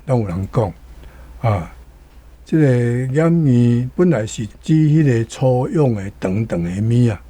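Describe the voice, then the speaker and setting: Slow, mellow Taiwanese man explaining words. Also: mid-range voice. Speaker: commentator explaining vocabulary. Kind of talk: informal explanatory talk.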